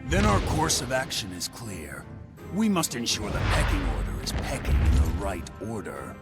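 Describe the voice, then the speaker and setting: A man's voice: on the low side, sing-song, moderate pace. An actor playing a proud, boastful male summoned spirit with a theatrical, old-fashioned way of speaking. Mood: haughty. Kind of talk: video game voice acting. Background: game music.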